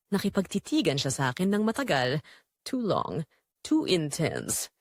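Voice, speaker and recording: A woman narrating a romantic drama in the first person, intimate and suspenseful, in short phrases with pauses. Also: medium pitch, dry recording, studio quality. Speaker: audio story narrator.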